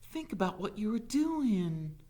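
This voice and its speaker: Man voicing a high-pitched frantic young schoolteacher woman. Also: sing-song, unhurried, dark tone. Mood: indignant. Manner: urgent, breathless pleading.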